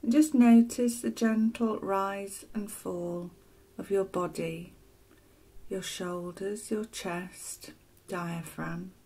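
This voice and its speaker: Soft husky lady doing guided meditation, really slow and soothing, lots of pauses. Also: mid-range voice, steady tone, rough recording. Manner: soothing, gentle, deliberate phrasing with pauses. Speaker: meditation teacher. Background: ocean waves sound loop.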